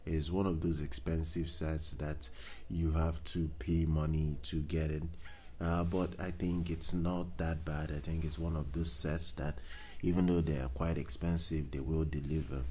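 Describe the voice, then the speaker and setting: A man hosting a tech channel, talking through a product news item unscripted, halting often with fillers and restarts. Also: rumbling low, level tone, mellow, slight background noise. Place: voice-over on screen recording.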